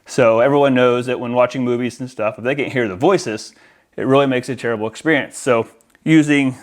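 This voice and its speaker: An American man giving a casual, explanatory product unboxing and demonstration in an informal, somewhat hesitant way. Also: medium pitch. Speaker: audio-video installer presenting a product. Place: indoors in a house, near a window.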